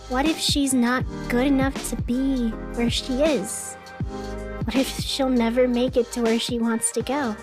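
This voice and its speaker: Gentle female radio host played by a woman for fiction podcast: high voice, unhurried, reflective storytelling over clear music. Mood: somber, dreamy. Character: gentle, musing young female radio host of a strange city.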